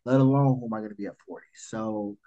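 A man's voice: fairly low pitch, fast talker, lo-fi sound. Emphatic man in his forties, casual chat. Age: 40s. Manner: animated, emphatic, repeats himself. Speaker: podcast co-host.

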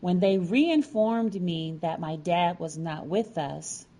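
A woman narrating a personal story from a script, with deliberate, dramatic phrasing. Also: fairly low pitch, mellow. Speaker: featured storyteller.

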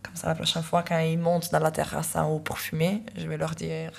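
A woman's voice: deep voice, monotone, a little fast, creaky, a little mellow. A woman casually telling a short anecdote in a chatty, amused way.

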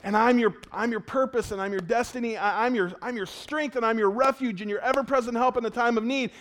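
A man preaches to his congregation in a conversational tone. His voice sits fairly high for a man. He asks the crowd questions and swings between loud, urgent lines and hushed ones. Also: fairly even intonation, fast. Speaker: pastor. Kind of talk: sermon.

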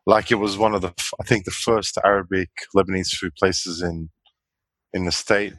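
A man's voice: deep voice, fairly even intonation, moderate pace, a bit bright, no echo, poor audio. A man in his forties, a guest chatting easily about his life and laughing now and then. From Australia. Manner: easygoing, chatty, laughs often. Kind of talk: casual interview chat.

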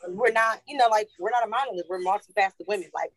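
A woman's voice: medium pitch, fast, poor audio. A woman being interviewed as a cast member, chatting casually. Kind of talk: casual chat.

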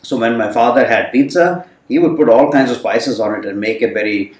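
A man's voice: medium pitch, fairly quick, darkish tone. Man recalling his youth, echoey and distant. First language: Hindi. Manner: thoughtful, many fillers. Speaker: guest. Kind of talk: reflective storytelling in conversation. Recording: roomy sound from a distant mic.

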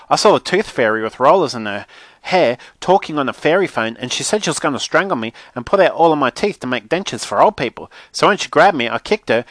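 A man puts on a little girl for a children's audiobook. The voice is high and very animated, full of bold childish exclamations. She comes across as defiant and fanciful, and the sound is dry and close. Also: dry recording.

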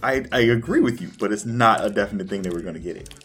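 A man co-hosting a podcast, chatting casually in an animated way. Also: lowish voice, fast, soft background music. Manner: animated, breaks into laughter easily. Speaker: podcast co-host. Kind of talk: casual chat about anime and games.

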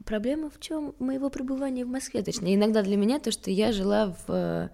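A woman's voice: a little high, fast talker, vocal fry, mellow. A young woman from Sweden, a model and interview guest, talking candidly and self-mockingly in a casual rambling way. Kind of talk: casual chat.

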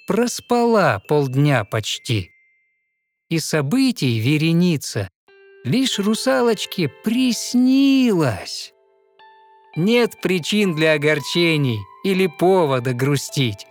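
Man, verse fairy-tale narrator, fairly high voice, unhurried, theatrical, expressive, faint music behind. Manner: measured, theatrical, expressive recitation.

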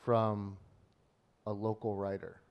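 A man's voice: lowish voice, flat delivery, slow, vocal fry, highs cut off. A man co-hosting a podcast, teasing and telling stories in casual banter. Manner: teasing, storytelling. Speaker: podcast co-host.

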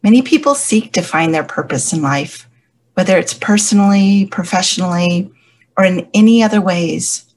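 Church elder, woman, mild stutter; measured, reflective testimony, slightly low voice. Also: a little mellow, slight background noise. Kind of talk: personal faith testimony.

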